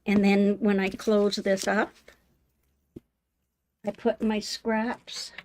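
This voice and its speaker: An older woman hosting a live sewing demonstration, talking in a homey, unhurried way with many ums, her voice slightly hoarse. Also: medium pitch, little pitch movement, a little mellow, a little hiss or hum. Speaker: sewing channel host. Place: home sewing room.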